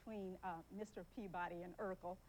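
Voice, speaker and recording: A woman's voice: fairly low pitch, fairly even intonation, a little fast, background noise. A woman guest on a talk show, chatting loosely with lots of giggles and fillers.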